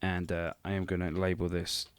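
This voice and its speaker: Man, low mellow voice, dry close sound, chatty software tutorial, rambling, self-deprecating. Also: completely flat delivery, normal speed, smooth, no echo. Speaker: music production tutorial presenter. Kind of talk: informal software tutorial narration.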